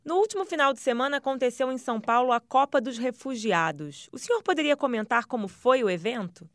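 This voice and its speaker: A woman's voice: sits high, animated, fairly quick, no echo, clean studio sound. A woman interviewing a guest for radio, asking formal, polite questions.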